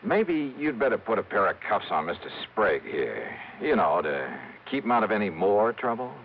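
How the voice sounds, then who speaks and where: A tough, wry insurance investigator in old-time radio style. His voice is fairly low and swings animatedly through slangy, sardonic lines, on a slightly dull vintage recording. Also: very animated, normal speed, slight background noise. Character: hardboiled, wry male freelance insurance investigator. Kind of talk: 1950s radio drama acting.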